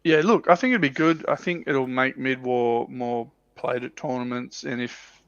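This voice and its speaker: A man giving his opinions in casual, halting chat as a panelist on a hobby livestream, often rephrasing as he goes. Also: medium pitch, steady tone, dry recording, lo-fi sound. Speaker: livestream panelist on a tabletop wargaming show.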